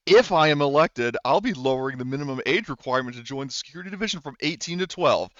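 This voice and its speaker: A man's voice: medium pitch, fairly bright tone, no room sound, muffled recording, lightly acted. A man voicing a brash, absurdly earnest male candidate giving a confident campaign speech in a tabletop game.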